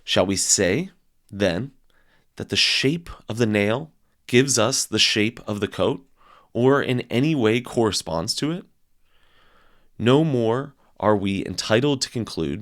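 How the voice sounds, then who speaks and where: A man giving a somewhat dramatic reading of a philosophical text, with theatrical, deliberate phrasing and emphatic swells and drops. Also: on the low side. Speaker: audiobook reader.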